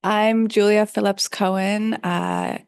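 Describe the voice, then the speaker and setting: Gravelly-voiced woman chairing a panel. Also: mid-range voice, level tone, no echo. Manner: measured, with frequent fillers. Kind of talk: academic panel introduction. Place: conference room.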